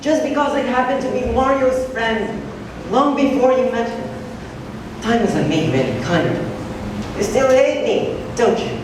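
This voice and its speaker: A woman's voice: fairly high pitch, animated, measured pace. A woman acting a smug, taunting crooked friend in an amateur stage play, with a mocking, theatrical drawl. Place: stage in an echoey hall. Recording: echoey hall, distant mic, noisy.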